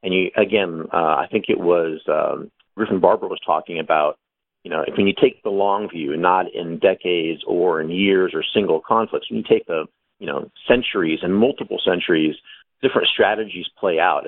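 A man, an author on a panel, explaining his story at length with thoughtful pauses and many fillers. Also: low voice, no room sound. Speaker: author guest. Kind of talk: casual panel discussion. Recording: tinny phone line.

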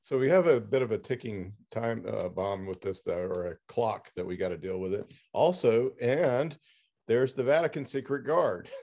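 A man's voice: fairly low pitch, moderate pace, dark tone. A man hosting a podcast, chatting casually as he interviews a guest about a book.

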